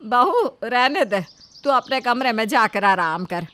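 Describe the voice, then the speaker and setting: A woman's voice: on the high side, animated, measured pace, sharp tone. A woman voicing an anxious, mild middle-aged mother-in-law in a cartoon story, instructing and placating. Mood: firm.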